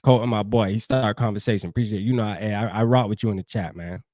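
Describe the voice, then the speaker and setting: A man's voice: fairly low pitch, very fast, warm tone, no room sound. A man from New Jersey hosting a fan show, talking loosely and profanely straight to his live chat. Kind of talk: casual livestream sports talk.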